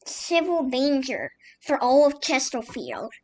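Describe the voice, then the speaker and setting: A woman reading a scripted mock emergency broadcast as an announcer, in a formal, halting manner. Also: high-pitched, wildly swooping pitch, poor audio.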